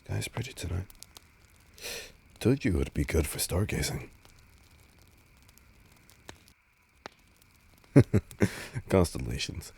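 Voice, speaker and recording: A man playing a laid-back, teasing, protective vampire on a date in an intimate audio roleplay, speaking casually with a husky, breathy voice. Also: sits low, animated, measured pace, mellow, heavily acted. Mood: wry, relaxed.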